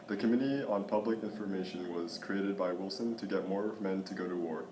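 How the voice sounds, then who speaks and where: A young male student reading a history class project aloud in a flat, subdued way, recorded in a classroom. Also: low-pitched, brisk. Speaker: student presenter. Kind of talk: reading a school project narration. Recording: roomy classroom with faint background noise.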